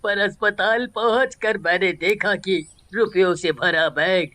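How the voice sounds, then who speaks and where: A woman's voice: mid-range voice, unhurried, a bit bright, lightly acted. A woman voicing a grave, heartfelt shop owner, a worried father, in a story. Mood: distressed. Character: distressed, grateful shop-owner father of an injured son.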